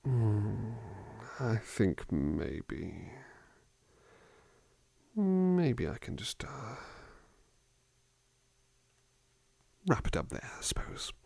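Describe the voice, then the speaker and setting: A man acting as a gentle, attentive butler for an ASMR roleplay. He speaks very slowly in a low voice, close and dry on the mic, with a touch of gravel. His manner is polite and musing, often hesitant as he rambles softly to the person in his care. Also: huge pitch swings, dry recording, exaggerated voice. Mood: politely attentive. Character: gentle, musing, attentive male butler looking after someone. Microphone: close dry microphone.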